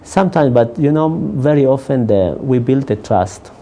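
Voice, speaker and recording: A man working as a counselor whose first language is not English, answering interview questions in a halting way, with many restarts. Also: medium pitch, animated, dark tone, a little hiss or hum.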